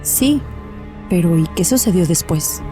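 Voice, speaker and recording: A woman's voice: lowish voice, animated, a little slow, music in the background. A woman playing a composed, probing and punctilious psychotherapist in a horror audio drama. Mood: probing, precise.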